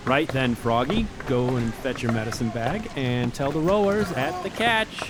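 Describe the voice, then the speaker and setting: An actor playing a jaunty ship captain who gives hearty orders in folksy nautical slang, in an audio drama. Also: male voice, medium pitch, expressive, normal speed, close to an everyday voice. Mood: hearty, commanding. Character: jaunty male ship captain barking orders to his crew.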